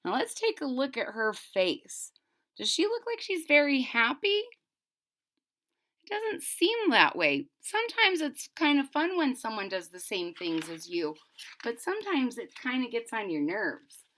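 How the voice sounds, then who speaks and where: A woman reads a children's story aloud in a high, bright voice. She takes her time and moves her pitch around expressively, pausing to explain things to young listeners. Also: very animated. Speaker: storybook reader.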